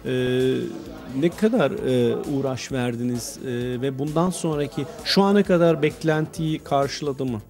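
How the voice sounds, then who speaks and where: A man's voice: medium pitch, measured pace, darkish tone. A man working as a TV reporter, interviewing people in a restaurant on a handheld microphone. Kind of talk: on-location interview. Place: restaurant dining room. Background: music.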